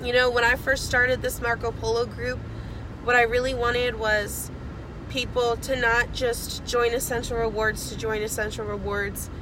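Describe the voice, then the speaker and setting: A woman's voice: on the high side, flat delivery, normal speed, noisy background. A young woman getting over a cold, chatting casually and rambling with lots of fillers, recorded on a phone in a car with the air conditioning on. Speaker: network marketing seller. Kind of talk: casual video message.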